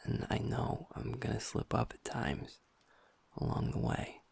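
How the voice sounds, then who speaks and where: A man voicing a happy-go-lucky young male werewolf boyfriend in an intimate audio roleplay, talking softly and earnestly to his partner. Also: lowish voice, wildly swooping pitch, a little slow, full character voice. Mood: tender, affectionate. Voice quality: soft, breathy, half-whispered. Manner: earnest, affectionate, talks to the listener as partner.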